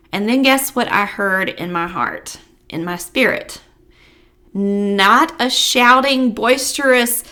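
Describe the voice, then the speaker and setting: Middle aged lady vlogging at home, reflective n encouraging, average pitch, close mic. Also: pushed and bright. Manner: earnest, encouraging. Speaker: vlog host. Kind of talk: reflective personal talk to viewers. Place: living room at home. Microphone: large desk microphone, close.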